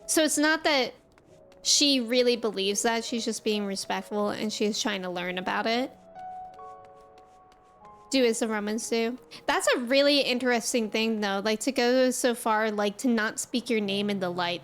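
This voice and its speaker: A young woman streaming a game, chatting casually and excitedly with lots of "like"s. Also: fairly high pitch. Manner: chatty, excitable, says "like" a lot. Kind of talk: casual gameplay commentary. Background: game music.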